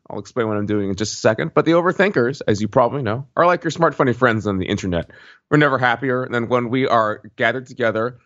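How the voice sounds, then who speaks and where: Male podcast host, mid pitch. Dry, wry, conversational. Slightly lo-fi sound. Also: fairly bright tone, dry recording. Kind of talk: casual conversational podcast talk.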